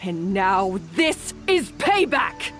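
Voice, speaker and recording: A woman's voice: mid-range voice, very animated, slow pace, soft background music. A woman playing a bitter, guilt-ridden young female chef in a video game, earnest and melodramatic. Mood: vengeful. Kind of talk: dramatic video game voice acting.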